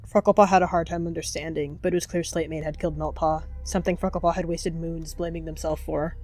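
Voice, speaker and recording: A woman's voice: low-pitched, steady tone, fast, darkish tone, faint music behind. A woman narrating a dramatic roleplay story as a storyteller.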